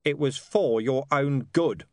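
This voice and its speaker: A man voicing a gruff, stubborn police commander in an audiobook, sardonic and pressing. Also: medium pitch, slow, darkish tone, no room sound, studio quality, pitched above the performer's own voice, close to an everyday voice. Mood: growling. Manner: sardonic, pressing, growling at times. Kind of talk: audiobook character dialogue.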